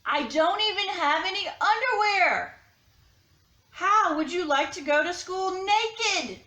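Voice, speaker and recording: Woman doing a very squeaky, distressed little crayon. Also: expressive, slow pace, pushed and bright, roomy sound, exaggerated voice. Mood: embarrassed, panicky. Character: embarrassed, panicky naked peach crayon pleading for clothes. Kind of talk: read-aloud storybook character.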